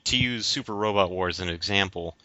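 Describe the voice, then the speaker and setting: A man's voice: sits low, fairly quick, sharp tone, no echo. A man co-hosting a podcast, explaining his views casually in a hesitant, roundabout way. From United States. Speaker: podcast co-host. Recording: dull lo-fi audio.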